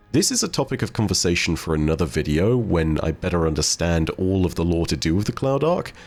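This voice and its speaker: A man giving video game lore commentary in a measured, explanatory, wordy way. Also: sits low. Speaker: video host. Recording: music behind the voice. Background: background music.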